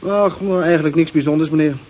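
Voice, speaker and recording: A man's voice: a little high, quick pace, close to an everyday voice. An actor in an old radio drama playing a cheeky young male employee, mock-polite and hesitant, who spins tall tales. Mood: cheeky, mock-innocent. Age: young adult. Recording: dull old radio play recording.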